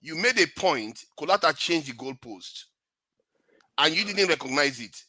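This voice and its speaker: A man's voice: fairly high pitch, expressive, moderate pace, sharp tone, dry recording, clean studio sound. A man debating as a panelist, explaining his point and trying to mediate.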